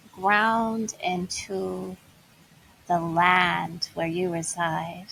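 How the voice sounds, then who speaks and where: A woman leading a guided meditation in a slow, soothing, inviting way. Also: mid-range voice, gravelly, fairly bright tone, slight background noise, low-quality recording. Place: Bali, Indonesia.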